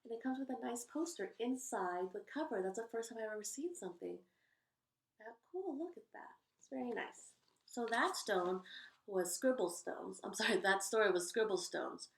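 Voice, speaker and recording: A woman hosting a read-aloud of children's picture books, delivering rhymes with expressive emphasis and chatting casually in between. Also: mid-range voice, moderate pace, gravelly.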